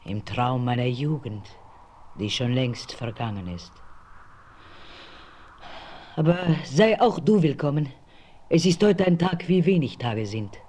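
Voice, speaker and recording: A solemn, mysterious loner who speaks slowly, with lively swings of pitch. He turns halting and hushed at times. A faint hiss sits under his voice. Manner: halting, stammering, hushed whispers. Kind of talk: 1970s radio play acting.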